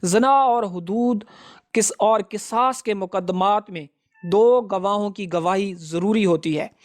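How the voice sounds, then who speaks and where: A man presents a prepared law lesson. He speaks slowly and formally, moving methodically through his points as an advocate explaining the rules to students. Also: high voice, faint music behind. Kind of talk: prepared educational lecture.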